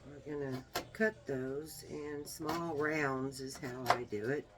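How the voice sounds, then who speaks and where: A woman with a small, soft voice, demonstrating a herbal remedy in a homey, unhurried way while she works. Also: rumbling low, fairly even intonation. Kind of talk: casual how-to demonstration.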